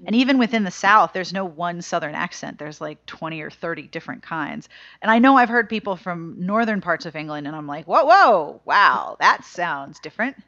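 An American woman hosting a podcast, reading the intro and then chatting with a guest in a joking, quick way. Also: fairly low pitch, lively intonation, bright, forceful tone, dry recording, lo-fi sound. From United States. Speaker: podcast host. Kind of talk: casual podcast chat and read intro.

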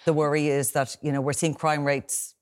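A woman's voice: low-pitched, level tone, moderate pace, darkish tone. A woman hosting a radio panel and putting probing questions to her guests. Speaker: radio host.

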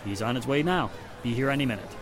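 An actor playing a matter-of-fact, assertive police inspector in the style of a 1940s radio mystery. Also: male voice, mid-range voice, expressive, fast talker, lightly acted. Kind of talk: 1940s radio drama acting. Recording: music and street sounds behind the voice.

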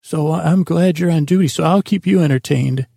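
A man with a gravelly, creaky voice hosting a bedtime podcast in a meandering, halting monologue that trails off mid-thought. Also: on the high side, dark tone, no echo, studio quality. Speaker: podcast host.

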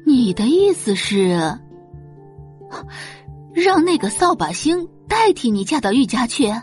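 A woman's voice: a little high, very animated, darkish tone, soft background music, voice pushed up. A woman playing a scornful middle-aged rich mother who dotes on her daughter, haughty and dismissive, in an audio drama. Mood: surprised, pleased. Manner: haughty, dismissive, drawn-out sighs and snorts.

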